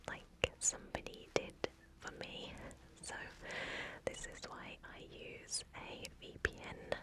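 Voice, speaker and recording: A woman whispering a sponsor message in ASMR style, as the creator of the video, entirely in soft unvoiced breath. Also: poor audio.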